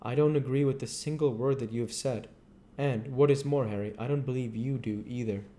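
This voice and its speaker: A man voicing an earnest, devoted, brooding gentleman painter from a novel, with an intense and sincere manner. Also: medium pitch, fairly quick, natural voice. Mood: disapproving.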